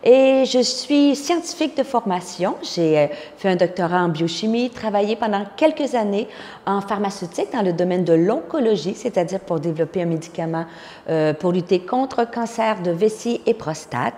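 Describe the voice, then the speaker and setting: A woman's voice: mid-range voice, lively intonation, normal speed, dark tone, in a large hall. A woman from Quebec in her fifties with a Québécois French accent, a pastor telling her testimony earnestly, with frequent fillers. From Quebec, Canada. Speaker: pastor and speaker. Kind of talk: personal testimony.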